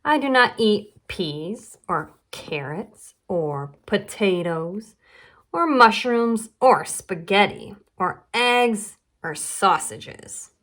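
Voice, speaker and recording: A woman voicing a small, fussy little girl from a storybook, with emphatic, drawn-out delivery. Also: mid-range voice, expressive, a bit bright. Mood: stubborn refusal.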